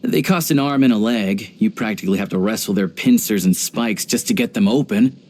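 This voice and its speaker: A man voicing a video game character, an earnest, pragmatic young hunter and rifleman who talks in a measured, reflective way. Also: lowish voice, darkish tone, close to an everyday voice. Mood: casual, wry.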